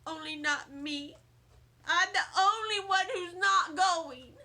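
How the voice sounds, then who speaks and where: A storybook kindergarten girl, acted out by a woman, eager and pouty. The voice is high and bright, with childlike, plaintive emphasis on her words. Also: slow pace, heavily acted. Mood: whiny, upset.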